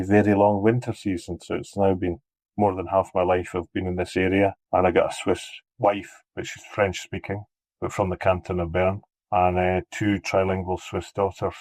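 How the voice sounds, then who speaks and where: A man's voice: low voice, a little mellow, dry recording, low-quality recording. A middle-aged Scottish man, guest on a travel podcast, talking about his work, often repeating words as he restarts. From Scotland. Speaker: cableway marketing head. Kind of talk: casual interview talk.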